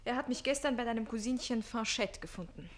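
A woman's voice: fairly high pitch, fast, close to an everyday voice. An actress playing a lovesick, impetuous adolescent page boy in a radio comedy, gushing and sighing with emotion. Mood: distraught. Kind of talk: theatrical German radio comedy acting.

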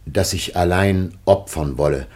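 A man acting an ancient, dying patriarch in a solemn radio drama, grave, anguished and loving, with declamatory, drawn-out phrasing. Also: low-pitched, a little mellow. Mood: sorrowful.

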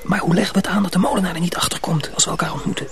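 A man acting in a radio drama as an earnest, troubled young mill apprentice, urgent and often hushed. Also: high-pitched, fairly even intonation, very fast, whispered.

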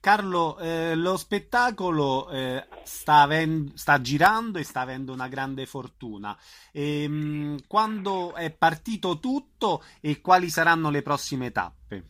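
A man's voice: fairly high pitch, expressive, takes it slowly, fairly bright tone. A man acting as a radio interviewer, giving brief acknowledgements and putting questions to his guest.

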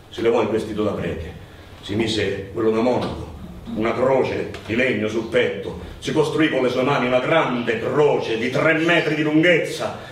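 A man performing a theatrical narrative monologue, with a dramatic delivery that swells and drops. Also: medium pitch. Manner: dramatic, swelling from hushed to shouted. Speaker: stage actor and storyteller. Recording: very echoey, distant, faint noise.

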